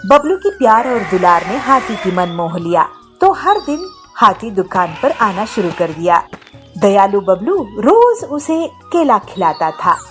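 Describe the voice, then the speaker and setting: A woman reads a scripted moral tale for children as its narrator. Her voice is lively, with wide swings in pitch, and faint music plays underneath. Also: unhurried.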